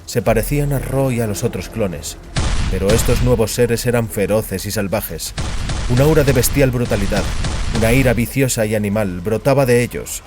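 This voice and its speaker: Un hombre que narra un relato dramático con guion, como narrador de audioficción. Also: male voice, lowish voice, moderate pace, music in the background. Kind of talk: scripted dramatic story narration.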